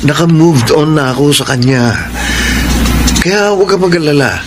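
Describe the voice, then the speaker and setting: An actor playing a smitten, earnest young college suitor in a Filipino radio drama, with a sweet-talking manner. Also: male voice, on the high side, expressive, normal speed, heavy background noise, close to an everyday voice. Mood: ardent, reassuring. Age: young adult.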